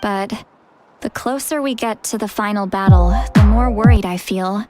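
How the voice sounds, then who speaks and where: A woman's voice: mid-range voice, moderate pace, dark tone, soft background music, close to an everyday voice. An actress voicing a cheeky, dramatic woman who is far older than she sounds, in a video game. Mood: worried, sincere. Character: ancient but youthful-sounding, dramatic, cheeky woman elder.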